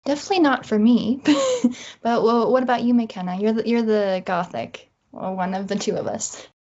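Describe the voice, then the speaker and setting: A woman's voice: on the high side, animated, moderate pace, darkish tone. A woman talking casually as a guest in an interview about writing. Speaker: interview guest, audio drama writer. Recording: slightly dull, lo-fi audio.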